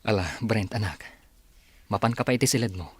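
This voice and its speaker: A man playing a protective father in an audio drama, with a husky, earnest, admonishing way of talking. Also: lowish voice, fairly quick, lightly acted. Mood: reproachful. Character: protective, reproachful father defending his adopted son.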